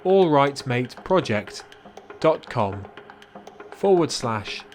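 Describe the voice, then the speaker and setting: Male announcer for scripted outro, mid-pitched with animated intonation, faint music underneath. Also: slow pace.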